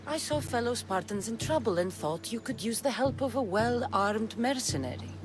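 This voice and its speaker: An actress playing a bold, determined young mercenary in a video game, confident and direct. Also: female voice, fairly high pitch, big pitch swings, moderate pace, over music, low-quality recording. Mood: cool, confident. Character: bold, determined young female mercenary, hardened and self-assured. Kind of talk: dramatic video game acting.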